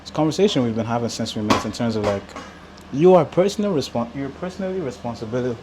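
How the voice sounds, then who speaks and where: A man's voice: medium pitch, fast talker. Casual man talking over humming noise. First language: Igbo. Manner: animated, talks with filler likes and repeats words. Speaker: podcast host. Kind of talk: casual podcast chat.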